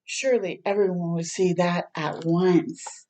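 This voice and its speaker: A woman reading a picture book aloud to young children in a gentle, expressive storytime manner. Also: on the low side, unhurried. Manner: gentle, expressive, prompting listeners with questions.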